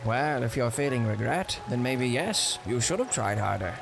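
A man voicing a calm, cryptic young man from an anime fan dub, measured and matter-of-fact in every line. His mid-range voice rises and falls in a lively way, and a steady hiss of noise sits under the home recording. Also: mid-range voice, vocal fry.

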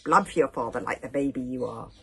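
A woman's voice: a bass voice, fast, gravelly, pushed and bright, slightly rough, voice pushed down, heavily acted. A woman reading a mean, spiteful storybook boy in a taunting manner. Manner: whispered taunting. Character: spiteful, mean sneering teenage boy cousin.

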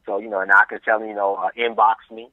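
A man's voice: on the low side, flat delivery, no echo. A man from Chicago with a Chicago accent, guest in a casual interview, talking frankly and repeating himself as he goes. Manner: fast, repetitive, frank, laughs easily. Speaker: magazine CEO guest. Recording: phone line.

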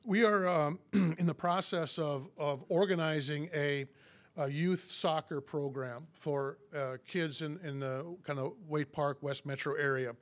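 A man in his fifties, a police chief getting over a cold, giving a public address at a podium mic in a meeting room, halting with many fillers and restarts. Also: a little high, steady tone. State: recovering from a cold.

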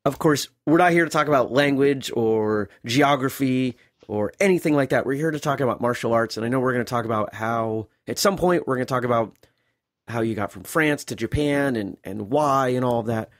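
A man's voice: mid-range voice, brisk, no echo. Chatty male podcast host, dry sound. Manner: friendly, chatty, repeats words when starting sentences. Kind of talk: casual interview.

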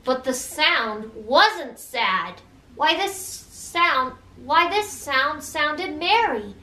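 High, bright young woman reading a storybook. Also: slight background noise. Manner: expressive, measured read-aloud delivery. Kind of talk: reading a rhyming children's storybook aloud. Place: living room at home, indoors. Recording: roomy home recording.

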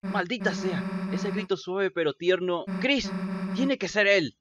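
A woman's voice: mid-range voice, measured pace, noisy background, close to an everyday voice. A woman voicing a tough, brash teenage monster girl, blunt and urgent, in a video game fan dub. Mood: irritated.

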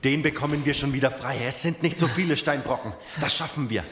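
A man's voice: medium pitch, quick pace, a bit bright, natural voice. A man playing a hard-headed, commanding survivor in a horror audio drama. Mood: grim, determined. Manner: urgent, commanding. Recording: long echoing reverb, clear noise.

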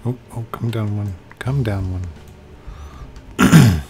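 A man's voice: lowish voice, slow, background noise. A man in his thirties with a slightly tired voice, casually commenting on a building game he plays, recorded on a headset at home. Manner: chatty, talks to game objects, hums while working. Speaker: gaming channel host. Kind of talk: casual let's play commentary. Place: home room, indoors.

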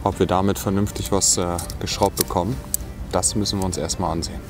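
A man's voice: deep voice, level tone, quick pace, over loud music. A young man giving a casual hands-on review of outdoor gear, outdoors in a bare forest, thinking aloud as he tries things out.

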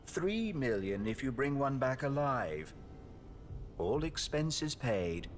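A man acting in a video game as an older, wealthy, shadowy businessman, coolly persuasive, measured and formal in his speech. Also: medium pitch.

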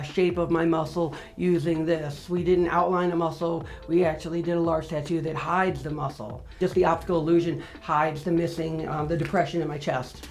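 High-voiced middle-aged man, matter-of-fact. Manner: plain, matter-of-fact, with frequent fillers. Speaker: record holder. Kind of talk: interview monologue. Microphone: lapel.

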